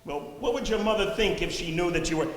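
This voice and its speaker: A man's voice: high voice, fast, lightly acted. An actor playing a mean, grouchy old man who bullies and badgers, in a live stage play. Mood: badgering. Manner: gruff, threatening, interrogating. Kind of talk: live one-act stage play acting. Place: indoor theatre stage, recorded from a distance. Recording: echoey hall stage recording with faint noise.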